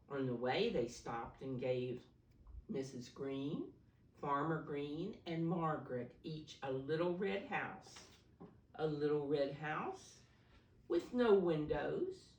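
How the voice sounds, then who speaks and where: A woman reading a picture book aloud to small children, pausing to ask simple questions and explain. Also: low-pitched. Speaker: preschool storybook reader, teacher figure. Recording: roomy echo, distant mic.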